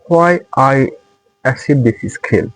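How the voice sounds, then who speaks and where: A man's voice: mid-range voice, slow, poor audio. A young man from Bangladesh whose first language is Bengali, speaking English with a Bangladeshi accent as he earnestly gives a motivational talk to his channel's viewers. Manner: earnest, repetitive phrasing in non-native English. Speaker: English-learning channel host.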